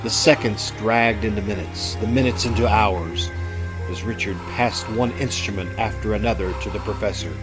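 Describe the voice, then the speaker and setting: A man narrating a scripted old-time radio drama with suspenseful, deliberate emphasis. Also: on the low side, fairly even intonation, rough recording. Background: music.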